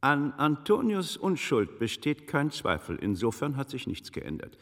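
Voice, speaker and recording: An actor in a German radio drama playing a shrewd, sardonic older magister with dry, commanding, biting delivery. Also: male voice, mid-range voice, sing-song, unhurried, fairly bright tone. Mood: indignant, cutting. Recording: long reverberant hall.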